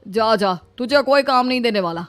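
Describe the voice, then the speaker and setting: A woman's voice: on the high side, moderate pace, soft background music, natural voice. A woman voicing an idle, sarcastic young son-in-law who grumbles in a comic story. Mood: grumbling. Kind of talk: acted comedy story dialogue.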